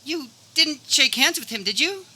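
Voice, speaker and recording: Casting: a woman plays upbeat office boss, swooping intonation, highish pitch, comic radio theater. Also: animated, moderate pace, bright, forceful tone, slight background noise, heavily acted. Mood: welcoming, chatty. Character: cheery male office manager. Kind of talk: comedic radio theater acting.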